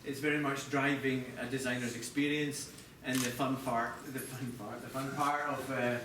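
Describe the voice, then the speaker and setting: A man's voice: mid-range voice, level tone, normal speed. Echoey, distant middle-aged Scottish man presenting. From Scotland. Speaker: chief TV product designer presenting. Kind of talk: live presentation to an audience. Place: echoey presentation room with audience. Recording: echoey room from afar, clear background noise.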